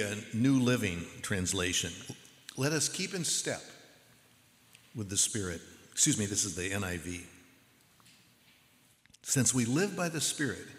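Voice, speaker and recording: A man preaching a sermon as pastor in a church, with a measured, earnest delivery. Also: medium pitch, a bit bright. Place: church sanctuary. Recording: echoey hall with long reverb.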